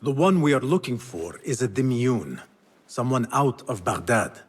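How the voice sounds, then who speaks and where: An actor playing a determined, serious young assassin in a video game, speaking in an earnest, terse way. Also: male voice, medium pitch, very animated, moderate pace, slightly rough. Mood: serious, focused. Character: determined, serious young male assassin, former street thief.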